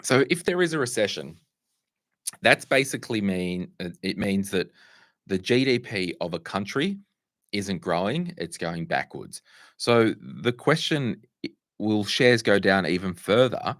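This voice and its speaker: A middle-aged Australian man with a croaky voice, hosting a casual Q&A and answering viewers' money questions with asides and restarts. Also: lowish voice, very animated, a little slow, fairly bright tone, dry recording, clean studio sound. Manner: conversational, restarts and asides while fixing tech. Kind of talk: casual livestream Q&A.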